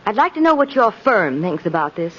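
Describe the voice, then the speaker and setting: An actress playing a practical, caring sweetheart, earnest and gently reproving, in 1950s radio drama style. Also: female voice, medium pitch, very animated, fairly quick. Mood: concerned. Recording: dull old 1950s radio recording.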